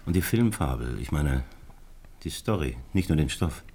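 Low-voiced, brooding middle-aged man. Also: very animated, darkish tone, breathy edge, heavily acted. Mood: probing, uneasy. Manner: musing, halting, repeating himself. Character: brooding, distracted middle-aged male screenwriter, anxious and fussy. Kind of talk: naturalistic radio drama acting.

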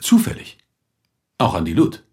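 An actor playing a sceptical police inspector in a radio play, questioning drily and insistently. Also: male voice, moderate pace, a little mellow, whispered, natural voice. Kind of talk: naturalistic German radio play acting.

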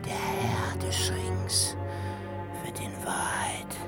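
An actor playing a vengeful, anguished dwarf in a fantasy radio drama, theatrical and bitter, moving between shrill cries and hisses. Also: male voice, very slow, in a whisper. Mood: menacing curse. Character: vengeful, anguished male dwarf, cursing and gloating. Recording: loud dramatic music underneath.

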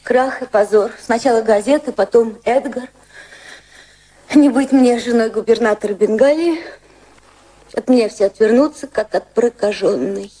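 An actress plays a society woman in desperate distress for a radio drama. Her voice is fairly high and softly mellow. She pleads in hushed, dramatic tones, frightened and full of shame. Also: moderate pace, lightly acted, poor audio. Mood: bitter resignation.

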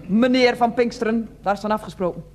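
A man's voice: very high-pitched, fast talker, soft background music. An actor in a radio play playing an officious association spokesman, pompous and emphatic, repeating himself as he lays down demands. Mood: stern, officious. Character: officious, insistent spokesman of an association issuing ultimatums.

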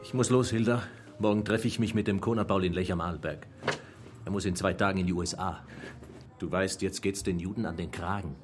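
A man in a radio play acting an easygoing, evasive film-star husband from South Tyrol, with a husky voice. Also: low-pitched, fairly even intonation, a little fast, over music, natural voice. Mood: defensive.

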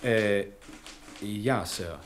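An actor playing a correct, measured male bank clerk with a dry manner in a German radio drama. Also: on the low side, a little hiss or hum. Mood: deferential. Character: deferential male bank clerk, bossy toward juniors.

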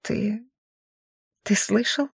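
A woman's voice: medium pitch, very slow, vocal fry, breathy edge, dry recording, studio quality, exaggerated voice. A woman voicing a desperate, frightened young wife in an audiobook, trembling and tearful as she implores and stumbles over words. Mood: frightened, stammering. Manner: stammering, imploring.

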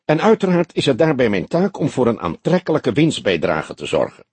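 A man's voice: mid-range voice, very animated, a little fast, breathy edge, no room sound. A man voicing an arrogant, venomous businessman in his thirties in an audiobook, snappy, impatient and haughty. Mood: haughty, indignant. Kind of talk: Dutch audiobook character dialogue.

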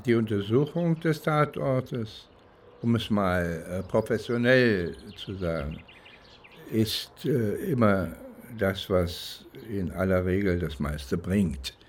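Slow-talking man, deliberate and hesitant. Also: lowish voice, slight background noise. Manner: hesitant, deliberate, searching for words. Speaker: private detective. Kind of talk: reflective documentary-style monologue.

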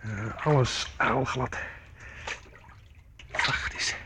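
A man's voice: mid-range voice, extremely slow, background noise. An actor playing an adventurous young nephew in a radio play, muttering to himself in short fragments as he explores. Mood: curious, intent.

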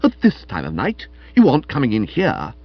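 A man's voice: mid-range voice, huge pitch swings, a little fast, no echo. Casting: imperious young modern woman done by a male reader, snappish and stiff, very swoopy intonation, slightly dull sound. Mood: rattled. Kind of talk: comic audiobook character acting.

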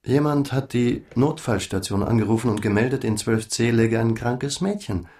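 An actor playing a courteous, matter-of-fact hotel employee in a German radio crime drama, speaking precisely. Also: male voice, medium pitch, normal speed, a little mellow, lightly acted. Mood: polite, matter-of-fact.

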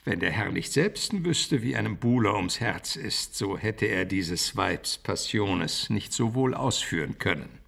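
A man's voice: fairly low pitch, animated, measured pace, vocal fry, hardly any pauses, fairly bright tone, breathy edge, full character voice. An older actor playing a learned, fatherly, admonishing pastor in a literary radio drama, with formal, preachy phrasing. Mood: knowing, teasing.

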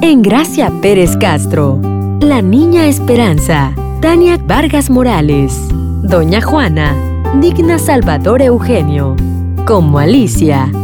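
A woman reading scripted programme credits as an announcer. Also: medium pitch.

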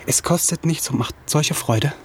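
An actor playing a proud, wounded young writer in a radio drama, cutting and mocking, halting and repeating words in agitation. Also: male voice, on the high side, noisy background, lightly acted. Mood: taunting, bitter. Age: young adult.

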